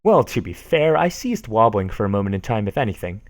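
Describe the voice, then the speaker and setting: A man's voice: fairly low pitch, very animated, brisk, no room sound, clean studio sound. A man casually reading forum posts aloud on a stream, sarcastic and amused, often laughing at what he reads.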